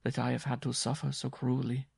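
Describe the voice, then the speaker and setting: A man's voice: monotone, brisk, creaky, darkish tone, a little hiss or hum. A man voicing a desperate, worn-out circus strongwoman. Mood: weary pleading. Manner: pleading, frightened, raised pitch.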